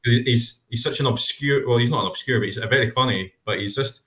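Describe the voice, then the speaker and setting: A man's voice: mid-range voice, fast talker, a bit bright. A man co-hosting a podcast in casual chat over a video call, rambling through restarts and asides. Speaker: podcast co-host. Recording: echoey room.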